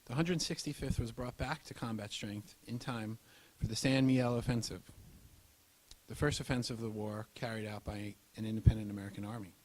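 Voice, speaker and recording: A man narrating a scripted historical account in a formal, measured manner. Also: fairly low pitch, fairly even intonation, a little mellow, low-quality recording.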